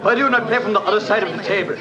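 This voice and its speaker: High-voiced, aggrieved German gambler acted by a man. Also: racing, natural voice. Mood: irritated. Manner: aggrieved, formal foreign phrasing. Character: agitated, bitter German gambler, superstitious and accusing. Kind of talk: 1940s radio acting. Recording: dull old radio recording, crowd behind.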